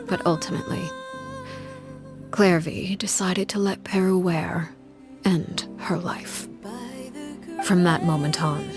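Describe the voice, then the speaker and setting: An actress playing a grave, composed woman who recounts a tragic tale in measured, hushed phrases. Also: on the low side. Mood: solemn, tragic. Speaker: storytelling game character. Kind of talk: scripted dramatic narration. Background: game music.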